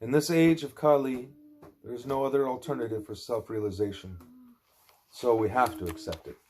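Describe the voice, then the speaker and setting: A man reading a spiritual discourse aloud as an audiobook narrator, with deliberate, measured phrasing. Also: medium pitch, darkish tone, a little hiss or hum. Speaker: audiobook reader.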